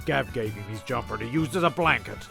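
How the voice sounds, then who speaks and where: A man's voice: medium pitch, animated, a little fast, creaky. A man narrating a comic story in an offhand, stumbling way, often repeating phrases. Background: music.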